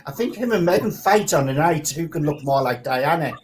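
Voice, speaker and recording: A woman's voice: sits low, expressive, a little fast, heavy background noise. A woman co-hosting a live chat, joking and teasing. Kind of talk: casual live-stream chat.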